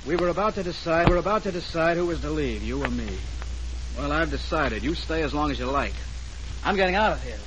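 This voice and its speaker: An actor playing a testy, suspicious murder suspect in a 1940s radio drama, curt and confrontational. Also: male voice, on the high side, animated, fairly quick, natural voice. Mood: irritated. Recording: noisy old radio recording with clicks.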